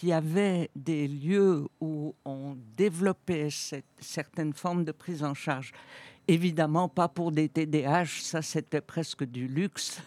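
A woman's voice: low-pitched, a little mellow. A woman of eighty, a guest on a radio interview, recalling her career thoughtfully with frequent hesitations and restarts. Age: 80s.

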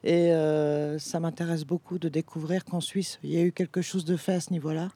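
A woman in her fifties from France who speaks hesitantly, with many pauses and fillers, in a radio discussion. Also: low-pitched, flat delivery, warm tone. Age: 50s. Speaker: guest.

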